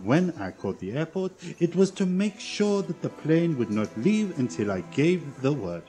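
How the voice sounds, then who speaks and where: An actor playing a courteous, self-assured, fussy Belgian detective with a French-speaking Belgian accent and precise delivery, in 1940s radio drama style. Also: male voice, fairly high pitch, sing-song, normal speed, dark tone. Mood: self-satisfied, explaining. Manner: precise, theatrical, with rhetorical tags. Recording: 1940s radio broadcast with music.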